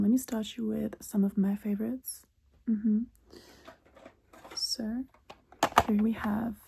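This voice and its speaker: A woman speaking in an intimate, unhurried, breathy whisper, chatting casually in ASMR style as a shopkeeper. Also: medium pitch, a little hiss or hum. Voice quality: breathy whisper, mostly unvoiced. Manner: unhurried, soft-spoken, intimate. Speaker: ASMR roleplay host as cassette shop keeper.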